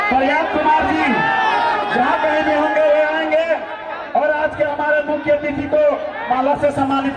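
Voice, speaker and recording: A man's voice: extremely high pitch, recorded from a distance. A man making insistent, repetitive public-address announcements from the stage at a political rally. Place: outdoor stage at a crowded rally. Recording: echoey loudspeaker amid loud outdoor crowd noise.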